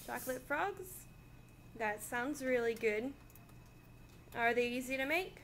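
A young woman playing an upbeat schoolteacher who praises her class, in an amateur class skit. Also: fairly high pitch. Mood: cheerful. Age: young adult. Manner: cheerful, encouraging, quick to praise. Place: small classroom, indoors. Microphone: far camera. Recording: echoey room, far mic, loud hiss.

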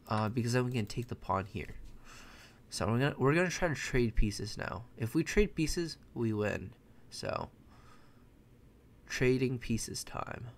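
Young American chess streamer with Mandarin as his first language; relaxed live commentary, talks through moves and chats with viewers. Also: mid-range voice, a little hiss or hum. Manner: thinks aloud, chatty, self-correcting. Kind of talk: casual live commentary while playing. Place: bedroom at home, indoors.

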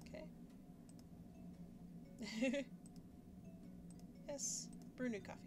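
Young woman gaming youtuber, rambly and jokey, lots of background noise. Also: very slow. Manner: chatty, self-mocking, thinks aloud. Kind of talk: casual gameplay commentary. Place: home setup, webcam in corner.

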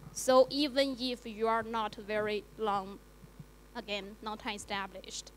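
Non-native woman panelist, hesitant, mid pitch, lecture-hall mic with faint hum. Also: little pitch movement, fairly bright tone. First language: Chinese. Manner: halting, many fillers. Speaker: panelist, journalist. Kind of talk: panel discussion.

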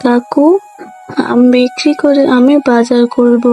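A woman's voice: on the high side, little pitch movement, takes it slowly, warm tone, faint music behind. A woman voicing an eager, cheerful little village girl in a Bengali cartoon, speaking in a childlike sing-song way. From Bangladesh.

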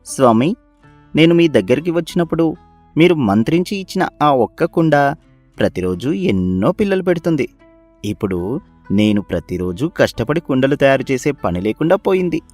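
A man's voice: medium pitch, very animated, moderate pace, a little mellow, faint music behind. A man voicing a humble, grateful potter in a cartoon moral story, speaking reverently as a devotee.

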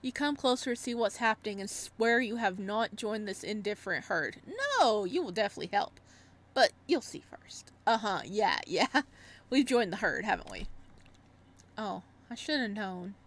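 A woman streamer reading a visual novel aloud in an expressive way, breaking off for casual reactions. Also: mid-range voice, moderate pace. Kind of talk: let's-play read-aloud with casual reactions.

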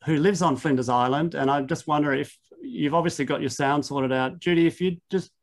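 Australian male presenter, gravelly, easygoing video-call Q&A. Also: on the high side, brisk. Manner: relaxed, loosely hedged with fillers.

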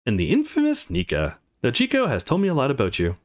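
Guy reading as a friendly polite dad hosting dinner, visual novel game, medium pitch. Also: huge pitch swings, fast talker. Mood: welcoming. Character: welcoming, polite father hosting a dinner party.

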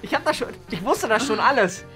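Fast-talking young man vlogging. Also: extremely high pitch, lively intonation, sharp tone, faint music behind. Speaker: vlog host. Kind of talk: casual vlog chat. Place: indoors, small studio rooms. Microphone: handheld vlog camera at arm's length.

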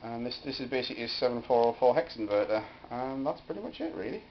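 A man's voice: medium pitch, big pitch swings, fast talker. A man giving a casual, hesitant, offhand demonstration of homemade electronics as a hobbyist. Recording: dull home video with faint noise.

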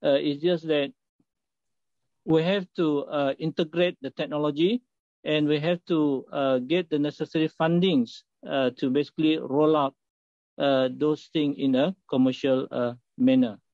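A middle-aged man from Malaysia, a company executive, talking haltingly with many filler sounds in a remote interview over a video call. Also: a little high, steady tone, no room sound. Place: indoor office. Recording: muffled dry video call audio.

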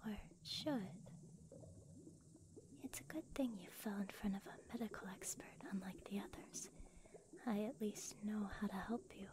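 A woman playing a gentle, soothing young healer in a whispered ASMR roleplay. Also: mid-range voice, moderate pace, close to an everyday voice. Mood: soothing, attentive.

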